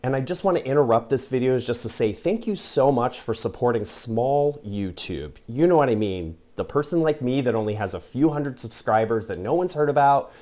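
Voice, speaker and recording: A man's voice: medium pitch, lively intonation, normal speed, few pauses, darkish tone. A man giving a casual, informal and enthusiastic voice-over as a YouTuber reviewing gear. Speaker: YouTuber reviewing a guitar effects pedal. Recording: music playing behind the voice. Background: music.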